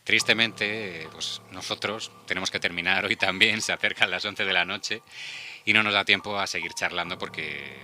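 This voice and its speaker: A man's voice: sits low, normal speed, bright, forceful tone, a little hiss or hum. A man with an accent from Spain hosting a casual radio discussion in a studio. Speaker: radio show host. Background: music.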